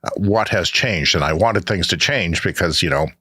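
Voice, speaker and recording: A man's voice: deep voice, moderate pace, sharp tone, breathy edge, no echo, clean studio sound. A middle-aged man from Canada, co-hosting a podcast in casual chat, talkative and sarcastic. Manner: chatty, sarcastic asides. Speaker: podcast co-host.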